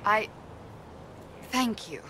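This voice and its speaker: A woman's voice: drawn-out words, pushed and bright, slight background noise. A woman voicing a stern, proud female warrior in a video game, haughty and emphatic, easily flustered. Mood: awkward, pleased. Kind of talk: video game cutscene acting.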